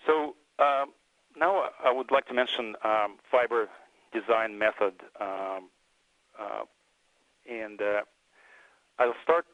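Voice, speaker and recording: Low-voiced man giving a halting technical talk. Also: steady tone, no echo, muffled recording. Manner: halting, hesitant delivery with frequent fillers. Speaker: research engineer presenting. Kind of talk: technical conference presentation.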